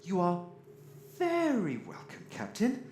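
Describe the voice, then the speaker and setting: Performer as theatrical, sarcastic robot grumbler, very animated intonation, roomy sound, sci-fi audio drama. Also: mid-range voice, slow pace. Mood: grumbling. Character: sardonic, ranting, greedy, fussy robot crew member. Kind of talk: science fiction audio drama acting. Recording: roomy echo, faint noise.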